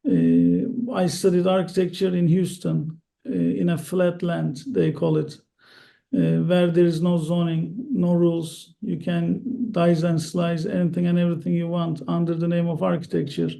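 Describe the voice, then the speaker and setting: Slow-talking Turkish-accented man. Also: a little high, level tone, creaky, dark tone. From Turkey. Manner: slow, careful, halting with many uhs. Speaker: guest, real estate investor. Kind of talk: interview answers.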